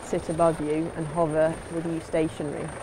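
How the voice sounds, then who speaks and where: Woman, lowish voice, plain explanatory narration, instructor, clear helicopter and outdoor noise. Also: completely flat delivery, a little fast. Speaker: sailing instructor.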